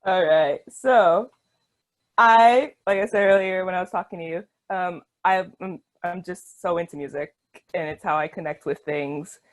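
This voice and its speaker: Enthusiastic woman interviewer, lower voice. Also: moderate pace. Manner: enthusiastic, says "like" often, laughs. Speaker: interviewer, book reviewer. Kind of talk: casual video-call interview.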